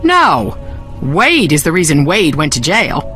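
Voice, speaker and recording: A woman's voice: sits low, sing-song, measured pace, fairly bright tone, soft background music, exaggerated voice. An actress playing a guarded, proud young woman in a video game, with a dry, pointed way of talking. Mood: wary, cutting. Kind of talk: video game character dialogue.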